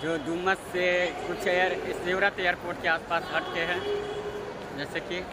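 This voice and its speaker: A young man with a high-pitched voice films a casual vlog on a phone held at arm's length. A native Maithili speaker, he narrates informally and repeats himself as he walks. Loud urban ambience runs heavily behind his voice. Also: little pitch movement, a little slow, very noisy. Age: young adult. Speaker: vlogger. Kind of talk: casual vlog chat to camera. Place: outdoors by roads and inside a large busy mall. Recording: loud street and mall ambience, handheld. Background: loud urban ambience and vehicles.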